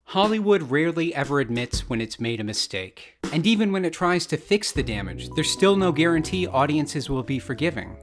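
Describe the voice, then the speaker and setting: A man narrates a scripted video essay in a slightly high-pitched voice. Music plays underneath him. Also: sing-song, fairly quick, few pauses. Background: background music.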